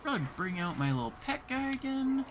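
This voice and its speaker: A man's voice: very high voice, big pitch swings, fairly quick, noisy background. A man from Canada casually commentating as he livestreams a game, thinking aloud in short remarks. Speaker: livestreaming gamer.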